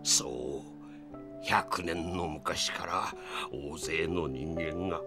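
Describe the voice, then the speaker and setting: An actor playing a sentimental, weary old grandfather in a Japanese audio drama, grave and dramatic, with a gravelly voice. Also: rumbling low, very slow, exaggerated voice. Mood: solemn, mournful. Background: music.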